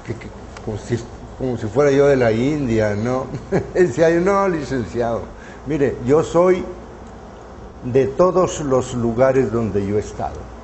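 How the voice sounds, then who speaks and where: A man in his seventies from Mexico, reminiscing in an interview in his studio, unhurried and full of hesitant fillers. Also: medium pitch, animated, a little mellow, a little hiss or hum, low-quality recording. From northern Mexico. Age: 70s. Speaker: painter interviewee. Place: artist's studio, indoors. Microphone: lapel mic.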